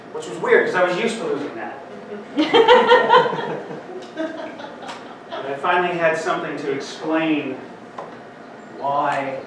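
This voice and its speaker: A man's voice: on the high side, background noise. Man speaking from far in an echoey hall. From Denver, Colorado. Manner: dramatic, slow, swings loud and soft, bursts into song. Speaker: poet and speaker at a conference. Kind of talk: spoken word poetry and talk. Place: large conference hall.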